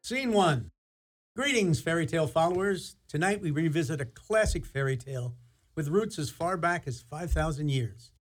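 A man's voice: a little high, lively intonation, moderate pace, faint music behind. A man playing a genial, theatrical narrator, telling a fairy tale in a radio play. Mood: storytelling. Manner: theatrical, storytelling.

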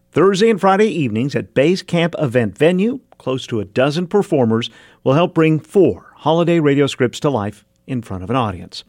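A man's voice: mid-range voice, no echo, studio quality. A man hosting a radio show, delivering scripted narration. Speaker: radio host and reporter.

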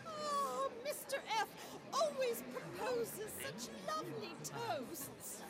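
A woman's voice: extremely high pitch, sing-song, slow pace, loud music behind the voice, a loud crowd, full character voice. A woman playing a giddy, doting middle-aged wife in a radio drama, gushing and giggly. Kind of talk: stage-style radio drama acting.

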